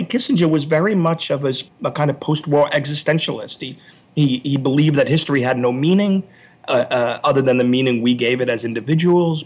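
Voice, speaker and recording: A man with a husky, medium-pitched voice talks as an interview guest. He explains like a scholar, analytical and somewhat halting, doubling back on words as he builds each point. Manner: analytical, halting with repeated word starts.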